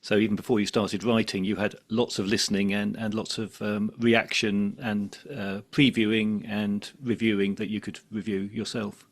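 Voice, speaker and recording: A man speaks as the host of a conversational interview. His voice sits a little low, and he puts his points to a guest in an informal, talking style. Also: flat delivery, normal speed, few pauses.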